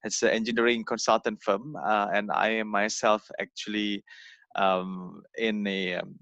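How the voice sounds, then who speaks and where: A young man from Indonesia, giving an informal talk over a video call, halting with many fillers and repeated words. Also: lowish voice, flat delivery, vocal fry, fairly bright tone, no echo. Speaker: guest speaker. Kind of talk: informal online presentation.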